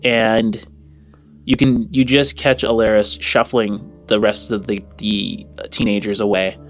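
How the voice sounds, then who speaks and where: A man working as game master, improvising descriptive narration for a tabletop game in a halting way. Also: fairly low pitch, level tone, faint music behind.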